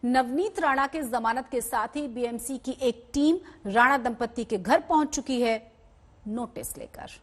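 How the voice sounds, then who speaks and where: High-pitched female news anchor. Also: measured pace. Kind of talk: scripted news presenting.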